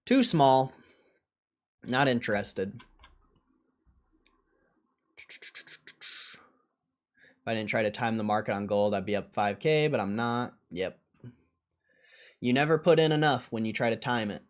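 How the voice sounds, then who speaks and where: A man's voice: medium pitch, takes it slowly, pauses often. Blunt man, casual livestream commentary. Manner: blunt, dismissive, profane. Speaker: livestream host.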